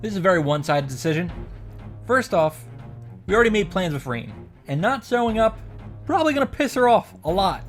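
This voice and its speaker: A man's voice: high-pitched, big pitch swings, fairly quick. A man giving a casual, opinionated story recap and commentary, with emphatic, sarcastic asides. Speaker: video creator recapping a visual novel. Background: background music.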